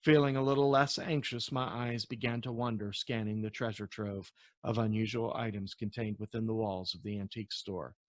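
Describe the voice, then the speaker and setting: A man who is an author reading his novel aloud as storybook narration, in an expressive storytelling manner with occasional stumbles. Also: on the low side, normal speed, dry recording.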